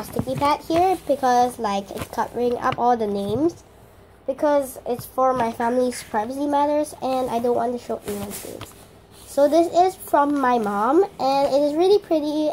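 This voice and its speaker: A teenage girl with a hoarse voice, chatting casually through an unboxing vlog with lots of fillers and restarts. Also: high-pitched, warm tone, slight background noise, poor audio. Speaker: vlogger.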